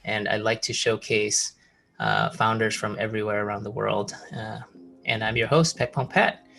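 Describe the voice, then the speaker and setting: A man's voice: lowish voice, animated, moderate pace, gravelly, pushed and bright, soft background music. A man hosting a founder interview podcast, eager and upbeat as he asks questions. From Malaysia. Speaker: podcast host.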